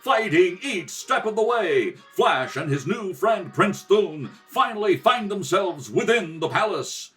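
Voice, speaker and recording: A man's voice: sits high, animated, measured pace, hardly any pauses, a bit bright, distant mic, soft background music. A man giving theatrical, dramatic narration in the style of an old-time radio adventure serial. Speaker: narrator, rehearsal reader. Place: kitchen table at home. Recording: home recording, slightly off the mic.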